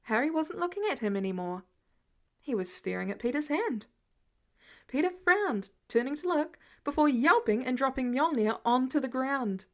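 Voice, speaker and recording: A woman's voice: high-pitched, animated, normal speed, a bit bright. A woman reading scripted fiction aloud as an amateur audiobook narrator.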